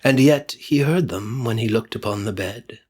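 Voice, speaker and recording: A man narrating a Victorian story as an audiobook reader, theatrical and solemn, with deliberate phrasing. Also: fairly low pitch, breathy edge. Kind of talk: dramatic scripted narration of Victorian fiction.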